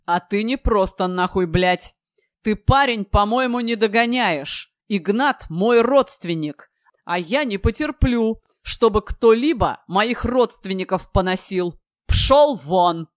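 A narrator puts on an older tradesman deep in drink for an audiobook. He slurs, swears and pushes every line like a threat. The sound is dry and close. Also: slow pace, hardly any pauses, dry recording, close to an everyday voice. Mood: drunk, menacing. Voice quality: slurred, drunken. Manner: belligerent, menacing, repetitive swearing. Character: drunk, aggressive, foul-mouthed older tradesman picking a fight.

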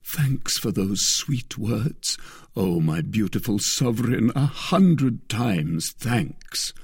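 A man's voice: lowish voice, sing-song, slow pace, husky, dry recording, clean studio sound, full character voice. A man doing an impassioned lovestruck duke. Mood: ardent. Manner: impassioned, declamatory. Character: ardent lovestruck nobleman, passionate and pleading. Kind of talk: dramatic audiobook reading.

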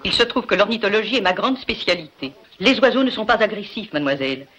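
Smug lady bird expert, bright clear tone, film dub. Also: mid-range voice, sing-song, fast talker, dull audio, slight background noise. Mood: dismissive. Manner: lecturing, dismissive. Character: prim middle-aged woman ornithologist, know-it-all. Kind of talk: dubbed film dialogue.

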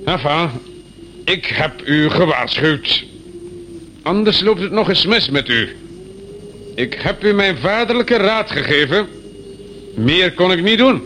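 Fussy, swooping police inspector. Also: male voice, sits high, animated, slow, long pauses, pushed and bright, full character voice. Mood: warning. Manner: fussy, insistent, mock-courteous. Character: officious, exasperated, fatherly male police inspector. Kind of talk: radio play acting. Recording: noisy old radio play recording.

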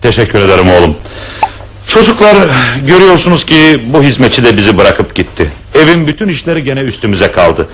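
Actor as mild-mannered professor dad: lively swooping intonation, courteous, pleading, radio theatre style. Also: male voice, medium pitch, fairly quick, slight background noise, lightly acted. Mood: polite, resigned. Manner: polite, pleading, formal. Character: gentle, harried widowed professor, father of four. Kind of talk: Turkish radio theatre acting.

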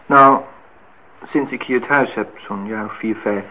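A man's voice: lowish voice, unhurried. Stugge, gereserveerde man, blikkerige opname. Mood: guarded. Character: reserved, prickly, self-deprecating male amateur painter. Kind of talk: naturalistic radio drama dialogue. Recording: noisy, phone-like old radio recording.

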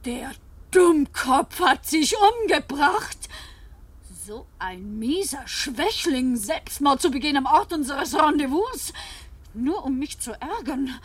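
A woman's voice: sits high, very animated, takes it slowly, heavily acted. An actress playing a nervy, cold-blooded woman who talks to herself theatrically in a 1970s German radio play. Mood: shocked. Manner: theatrical, talking to herself in exclamations. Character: Françoise, cold-blooded nervous young Parisian blackmail victim turned killer.

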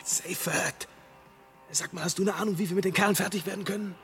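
A man's voice: high voice, steady tone, brisk, pushed and bright, faint music behind. A male actor playing a polite, hesitant space adventurer under siege, mild in manner, in a comic science-fiction radio drama. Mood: worried.

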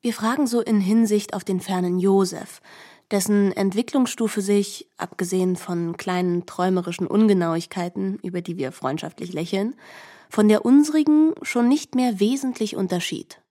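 Woman narrator with a dark, mellow voice. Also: medium pitch, normal speed. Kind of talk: scripted literary narration for a radio production. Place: studio.

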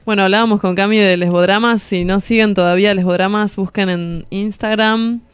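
A woman's voice: medium pitch, fairly even intonation, a little fast, clear, resonant tone. A woman with an Argentine Rioplatense accent, chatting casually on a radio show in a playful, irreverent, slangy way. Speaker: radio host and columnist.

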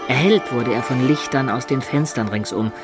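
A man's voice: mid-range voice, moderate pace, lightly acted. An actor playing a medieval adventurer who recounts his own tale as narrator of a radio play. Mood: storytelling. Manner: descriptive storytelling. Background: music behind the voice.

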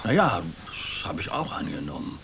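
Blustering, shifty mayor, swooping delivery. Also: male voice, low-pitched, big pitch swings, normal speed, creaky, fairly bright tone, slight background noise, exaggerated voice. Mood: blustering, evasive. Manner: blustering, hesitant, self-correcting. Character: shifty, fumbling small-town mayor, pompous and evasive. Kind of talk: German radio drama acting.

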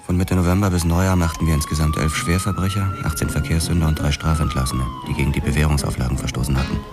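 An actor playing a hard-boiled boxer turned policeman, narrating his past tersely and grimly in a noir radio drama. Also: a bass voice. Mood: matter-of-fact. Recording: music behind the narration. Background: dramatic music.

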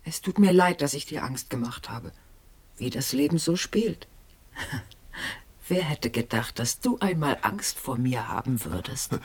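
Female ghost of a dead wife: husky, whispery, wistful, reproachful, very mobile pitch. Radio drama. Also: sing-song, unhurried, exaggerated voice. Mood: gently reproachful. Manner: hushed, often drops into whispering.

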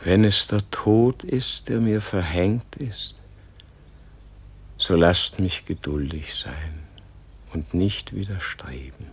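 Husky, very slow dying old sage. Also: male voice, deep voice, heavily acted. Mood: resigned. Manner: slow, halting, solemn. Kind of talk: radio play acting.

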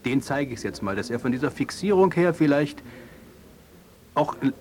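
A man with a mid-pitched voice acts as an opinionated questioner in an audio drama. He speaks deliberately and at length, probing his partner, with faint noise behind. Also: animated, darkish tone, lightly acted.

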